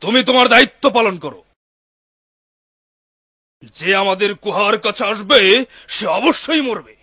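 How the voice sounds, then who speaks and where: Cartoon bandit boss, piercing high voice, bossy and menacing, dry sound. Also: male voice, expressive, moderate pace, husky, dry recording, full character voice. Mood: threatening. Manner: forceful, declamatory. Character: menacing, commanding bandit chief. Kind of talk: cartoon dub acting.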